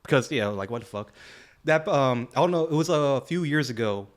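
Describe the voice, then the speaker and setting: A man with an average-pitched voice in loose, casual conversation. He co-hosts a podcast, talking in slang with some swearing and often trailing off into vague phrasing. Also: expressive, fairly quick. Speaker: podcast co-host.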